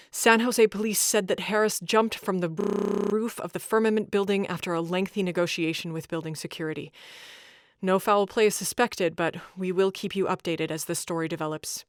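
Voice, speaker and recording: A woman's voice: lowish voice, steady tone, normal speed, dry recording, studio quality, natural voice. A woman playing a tech show host, presenting scripted tech news in a polished, emphatic way. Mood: somber, formal. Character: enthusiastic, skeptical female tech show host.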